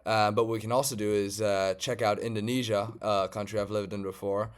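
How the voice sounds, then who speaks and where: Smooth-voiced German data scientist. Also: male voice, lowish voice, brisk. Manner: relaxed, conversational, frequent "um" and "uh" fillers. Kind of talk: screen-share tutorial walkthrough.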